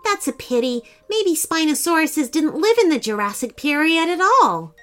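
A woman voicing an eager, confident young boy adventurer in a children's story, excitable and matter-of-fact. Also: high-pitched, normal speed, faint music behind, voice pushed up. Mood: puzzled, determined.

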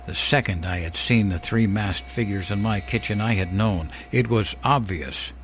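A man giving measured, suspenseful first-person narration of a horror story. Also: on the low side, a little hiss or hum. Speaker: narrator of horror fiction podcast. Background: background music.

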